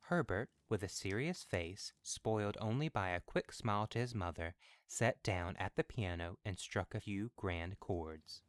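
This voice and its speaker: Man, lowish pitch, podcast narrator, scripted storytelling. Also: unhurried, no room sound.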